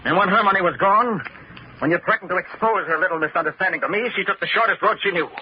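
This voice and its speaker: A muffled, faintly noisy old radio drama sound. An actor plays a bitter, vengeful widower, a fairly high-voiced man with brooding, dramatic delivery. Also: quick pace, lightly acted. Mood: bitter accusation. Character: bitter, vengeful widower hunting his wife's killer. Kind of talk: old-time radio mystery acting.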